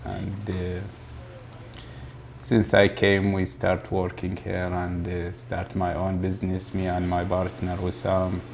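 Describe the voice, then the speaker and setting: A man from Iraq, a grocery store owner speaking haltingly in a documentary interview, often pausing to find his words. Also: low-pitched, completely flat delivery, gravelly, a little hiss or hum.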